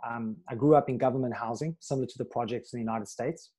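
A middle-aged man from South Africa telling his life story as a podcast guest, earnest and steady, with pauses and fillers, on a video call. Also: mid-range voice, husky. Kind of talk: candid life story in a conversation. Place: small room with a plain wall. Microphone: wired earbud mic on a video call.

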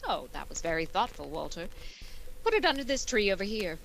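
A woman playing a sardonic high school English teacher with dry, deadpan delivery in an old-time radio comedy. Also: a little fast, gravelly, slight background noise, poor audio. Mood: dry, wry.

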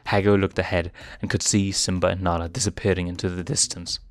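A man reading fan fiction aloud in a steady storybook narration. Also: low voice, fairly quick.

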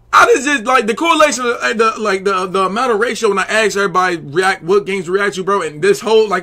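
High, animated young man reacting to football. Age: young adult. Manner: excitable, slangy, bursts into shouting at big plays. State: losing his voice. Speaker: sports reaction streamer. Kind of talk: casual game reaction commentary. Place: indoor room at a desk.

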